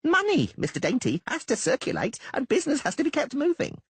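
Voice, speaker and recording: A man's voice: very high-pitched, wildly swooping pitch, fairly quick, a bit bright, slight background noise, voice pushed up, exaggerated voice. A man voicing a male shape-shifting doppler who poses as a halfling merchant, stuttering and reeling off lists with pride. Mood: proud. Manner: stuttering, rattles off lists proudly.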